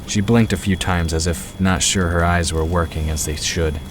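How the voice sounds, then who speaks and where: A man's voice: low-pitched, fairly even intonation, normal speed, very noisy. A man narrating a scripted fantasy story as the narrator of an audio drama.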